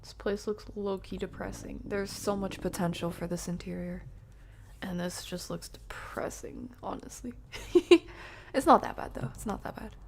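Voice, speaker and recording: A woman streaming a game, chatting playfully with viewers and often dropping into hushed whispered asides. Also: medium pitch, normal speed, vocal fry, a little hiss or hum. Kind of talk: casual live-stream chat while playing.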